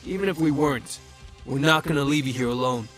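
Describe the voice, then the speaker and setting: A man's voice: on the high side, a little fast, fairly bright tone, faint music behind, natural voice. A man acting a loyal, defiant teenage hero in a superhero audio drama. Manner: earnest, bold.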